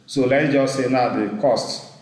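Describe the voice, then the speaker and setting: A man teaching a database class on a video call, speaking slowly, repeating himself and pausing to check the chat. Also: mid-range voice, steady tone, a little mellow, very echoey, poor audio. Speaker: instructor. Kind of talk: live online class teaching. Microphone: computer mic on a video call.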